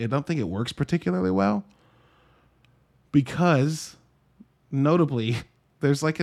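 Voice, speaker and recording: A man's voice: mid-range voice, a little mellow. A man in his early thirties hosting a casual solo movie review podcast, rambling informally with joking asides and some swearing.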